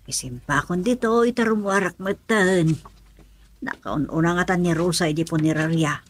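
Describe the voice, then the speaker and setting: A woman's voice: on the low side, moderate pace, natural voice. A woman acting a fussy, grumbling housewife in a radio comedy-drama. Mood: muttering.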